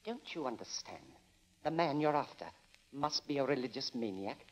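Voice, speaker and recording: An actor playing a self-assured elderly gentleman witness in a 1940s radio drama, precise and emphatic. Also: mid-range voice, expressive, moderate pace, natural voice, low-quality recording. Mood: insistent.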